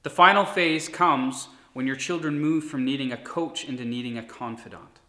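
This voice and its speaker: A man in his thirties, a pastor, giving a sermon in an earnest, steady preaching manner. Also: mid-range voice, normal speed. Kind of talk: recorded sermon. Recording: echoey room.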